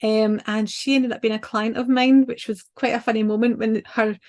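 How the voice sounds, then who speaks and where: A woman's voice: a little high, fairly even intonation, moderate pace, darkish tone. An older woman with a Scottish accent chatting casually as a guest, rambling and sharing social media tips with frequent ums and likes. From Scotland. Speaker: designer and small business owner as guest.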